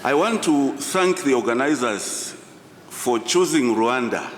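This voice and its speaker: Slow, echoing male conference orator. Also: lively intonation. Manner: deliberate, emphatic, short phrases with pauses. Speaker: professor giving a conference address. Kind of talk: formal public speech, storytelling. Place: large indoor conference hall. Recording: echoey hall, distant reverberant sound, low rumble.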